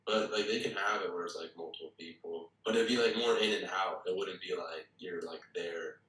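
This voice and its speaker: Man, low voice, informal explainer, heavily echoing room, some distance from the mic. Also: a little fast, fairly bright tone. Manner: informal, repeats filler "like". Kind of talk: casual explanation.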